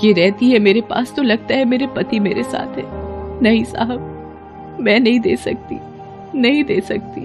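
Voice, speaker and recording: A woman voicing a poor widowed mother, humble, devoted and sorrowful, with a pleading, halting delivery in a moral story. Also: a little high, over music. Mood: tearful pleading. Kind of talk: acted dialogue in a moral story.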